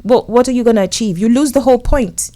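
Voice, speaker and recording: A woman's voice: on the high side, fast talker, warm tone. Earnest woman sharing relationship advice. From England. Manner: earnest, tells stories, acts out her husband's lines. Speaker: relationship consultant guest. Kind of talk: casual conversational interview.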